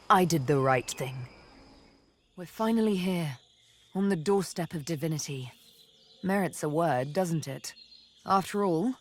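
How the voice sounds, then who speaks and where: An actress voicing a vengeful, seductive elf assassin in a video game, deliberate and teasing, often murmuring. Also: female voice, sits low, slight background noise. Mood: flirtatious, intimate.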